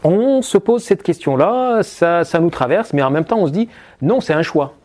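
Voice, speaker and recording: A middle-aged man from Chad, a former officer answering an interview question thoughtfully, halting with many fillers and restarts. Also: high-pitched, expressive, darkish tone.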